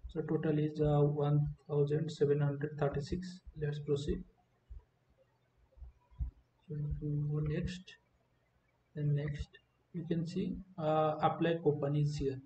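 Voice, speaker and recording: Guy doing a software walkthrough, kinda halting, flat higher voice, muffled roomy recording. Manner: halting, hesitant delivery. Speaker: software demonstrator. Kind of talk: unscripted screen-recording walkthrough. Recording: muffled, roomy, faint background noise.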